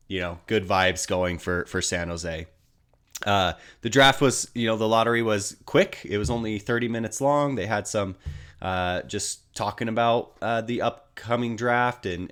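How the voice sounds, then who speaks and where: A man's voice: fairly low pitch, steady tone, moderate pace, fairly bright tone, smooth. An American man getting over a cold, slightly nasal, giving casual hockey news commentary in a hesitant, rambling way.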